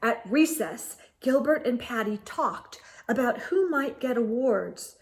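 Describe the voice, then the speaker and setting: Woman, high voice, very slow pace, expressive children's read-aloud narration, storytime host.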